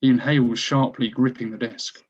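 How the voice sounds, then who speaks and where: A man's voice: mid-range voice, fairly even intonation, normal speed, a little mellow, husky, muffled recording. A man reading stage directions as scripted narration for a screenplay table read.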